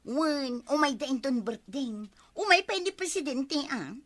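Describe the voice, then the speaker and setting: A woman's voice: high-pitched, animated, a little slow, a bit bright. A woman playing a boastful, hot-tempered village wife in a comedy radio drama, theatrical and whiny, with an Ilocano accent. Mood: defensive.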